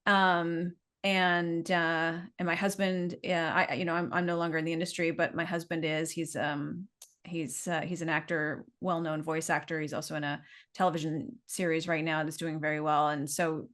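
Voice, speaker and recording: A woman's voice: low voice, level tone, normal speed, fairly bright tone. A middle-aged woman with a Canadian accent, telling her story in a chatty, meandering, informal way as an interview guest. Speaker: business owner being interviewed.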